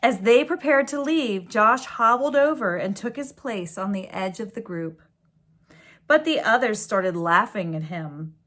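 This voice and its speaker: Woman, mid-pitched voice, very slow deliberate pace, expressive children's read-aloud. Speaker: teacher reading a storybook aloud. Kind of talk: children's storybook read-aloud with gentle asides.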